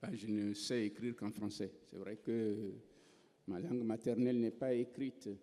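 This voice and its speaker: A man's voice: on the low side, steady tone, normal speed, dark tone. A man from Togo, a writer on a festival panel, telling his story with wry, self-mocking humor. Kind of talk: reflective panel talk. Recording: reverberant festival hall.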